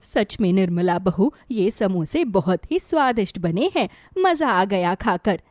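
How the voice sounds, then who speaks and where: A woman's voice: medium pitch, expressive, unhurried, a little mellow, no room sound, clean studio sound, voice pushed down, close to an everyday voice. A woman voicing a kindly, practical village mother-in-law who approves and encourages in storybook dialogue. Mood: pleased.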